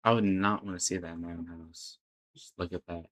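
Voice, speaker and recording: A man's voice: low voice, steady tone, fairly quick, fairly bright tone. A man hosting a reaction video, talking casually in a hesitant, stammering, uneasy way.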